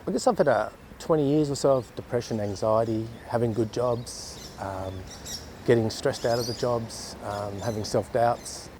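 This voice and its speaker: Mellow reflective Australian man. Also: medium pitch, normal speed, slight background noise. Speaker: interviewee. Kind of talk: documentary interview.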